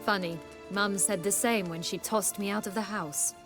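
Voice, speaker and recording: An actress playing a proud, bitter exiled noblewoman in a video game, with haughty, formal, measured speech. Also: medium pitch, fairly even intonation, music in the background, close to an everyday voice. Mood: cold, probing.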